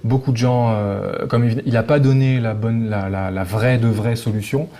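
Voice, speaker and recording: A man's voice: mid-range voice, steady tone, moderate pace, dark tone. A man chatting casually as a guest translator, hesitant and often repeating words as he goes. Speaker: guest, translator of visual novels. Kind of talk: casual chat.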